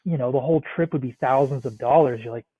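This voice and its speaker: A man's voice: medium pitch, quick pace, dark tone, slightly rough, no echo. A man of about thirty from the Northeastern United States, chatting casually as a podcast guest, rambling with lots of "like" and some swearing. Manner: rambling, many "like" fillers, swears freely. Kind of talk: casual interview chat. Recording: tinny phone-like remote line, dry.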